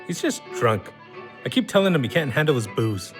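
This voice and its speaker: A man's voice: mid-range voice, sing-song, brisk, soft background music, natural voice. A man playing a cynical, foul-mouthed drinker, blunt and mocking, in a naturalistic audio drama. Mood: dismissive. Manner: sarcastic, blunt, gruffly dismissive.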